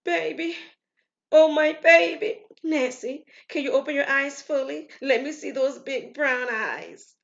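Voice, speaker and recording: A woman's voice: sits high, unhurried, gravelly, fairly bright tone, dull audio, voice pushed up, heavily acted. A woman voicing a worried, tender mother in an earnest way, in an audio story. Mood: overwhelmed, tender. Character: worried, tender mother of a sick young daughter.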